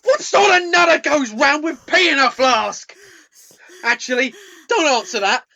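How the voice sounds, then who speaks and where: A woman's voice: high voice, lively intonation, measured pace, bright, forceful tone, full character voice. A woman playing an angry, headstrong stranded passenger in an amateur radio play, combative and sarcastic. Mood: outraged.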